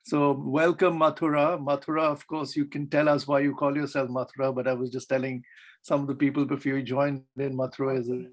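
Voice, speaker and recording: A man hosting a poetry reading over a video call, giving measured, formal introductions. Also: medium pitch, little pitch movement. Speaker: host. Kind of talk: introducing poets.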